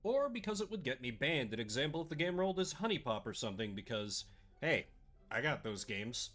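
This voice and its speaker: A man chats on his live stream in a lively, bouncing voice that is a little rough and scratchy today. He talks in a playful, sarcastic way. Faint music plays behind him. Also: mid-range voice, a little fast. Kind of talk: casual live-stream chat.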